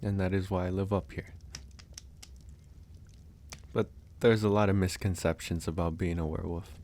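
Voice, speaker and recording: A man playing a calm, candid, easygoing werewolf in an intimate ASMR audio roleplay, musing unhurriedly with sighs and pauses. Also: low voice. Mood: relaxed, obliging.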